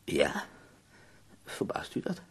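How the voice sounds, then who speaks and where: An actor playing a courteous, wry, bedridden male poet in a radio drama, with a gravelly, husky voice. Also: takes it slowly, in a whisper, lightly acted.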